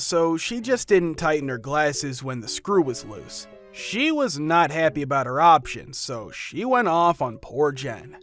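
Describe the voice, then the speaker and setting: Man narrating workplace stories, fairly high. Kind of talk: scripted narration of first-person workplace stories. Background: faint background music.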